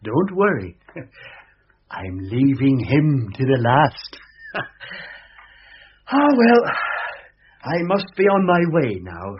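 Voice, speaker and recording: A shy, polite Father Christmas, hesitant and stammering, with a lively up-and-down voice and an unhurried pace. An old radio-play sound, dull and lightly hissing. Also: medium pitch. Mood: cheerful. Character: shy man, Joe, dressed as Father Christmas. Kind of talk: radio drama acting.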